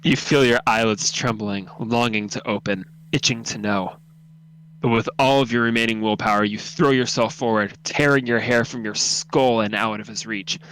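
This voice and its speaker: A man's voice: on the low side, steady tone, normal speed, a bit bright, a little hiss or hum, low-quality recording. A man reading a horror story aloud as narrator, dramatic, intense and suspenseful.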